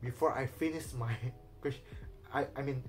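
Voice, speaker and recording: A man whose first language is Indonesian, talking casually in halting English with many fillers, restarts and small nervous laughs. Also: medium pitch, soft background music. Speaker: vlogger. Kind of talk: casual personal vlog talk.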